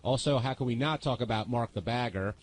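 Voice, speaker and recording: A man's voice: mid-range voice, a little fast. A man hosting a radio talk show, introducing guests, breaks and segments.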